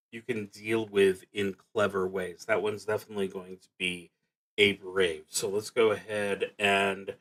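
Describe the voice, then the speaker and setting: Slow-paced man thinking aloud on a stream. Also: fairly low pitch, a bit bright, low-quality recording. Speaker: solo roleplaying game streamer. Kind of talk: casual live play-along narration, thinking aloud.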